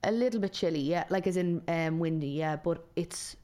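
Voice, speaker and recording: Woman, podcast co-host, low, smooth voice, gushing casual chat. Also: moderate pace, warm tone. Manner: chatty, gushing travel recommendations.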